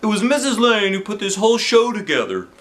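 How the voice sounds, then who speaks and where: A man's voice: high voice, big pitch swings, fairly quick, a bit bright, voice pushed up. A man voicing a showy school principal who hosts a televised talent show in a children's story, announcing and selling like a salesman. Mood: formal announcing. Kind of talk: children's book character voice.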